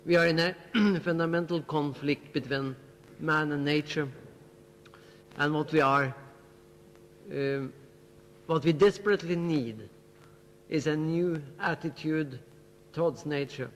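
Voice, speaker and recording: A man's voice: a little high, measured pace. A man speaking as a biologist on a debate panel, earnest and emphatic, driving his point home with repeated phrases. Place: lecture hall at a student festival. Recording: hall reverb with faint hum.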